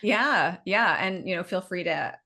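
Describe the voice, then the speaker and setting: A woman's voice: fairly low pitch, measured pace, sharp tone. A middle-aged woman with a Canadian accent, telling her story in a chatty, meandering, informal way as an interview guest. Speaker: business owner being interviewed.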